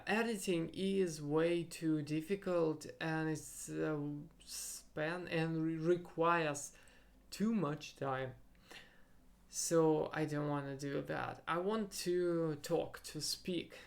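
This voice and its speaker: Young guy, russian native, super slow, gravelly voice, kinda halting solo podcast ramble. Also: fairly high pitch. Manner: halting, searching for words, thinking aloud. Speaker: podcast host.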